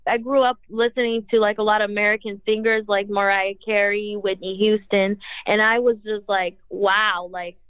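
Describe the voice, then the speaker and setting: A young woman whose first language is Portuguese talks through her interview answers, looping and filling every pause with "like". Her voice sits in a middle pitch. The sound is dry and narrow, as if over a phone line. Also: little pitch movement, smooth, no echo. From Brazil. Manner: rambling, heavy use of "like". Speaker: singer, guest. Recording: tinny phone-like audio.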